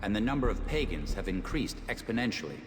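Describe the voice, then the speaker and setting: A man's voice: fairly low pitch, big pitch swings, fast, background noise. A man playing a worried, bewildered soldier in a video game cutscene, speaking anxiously. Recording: long reverb.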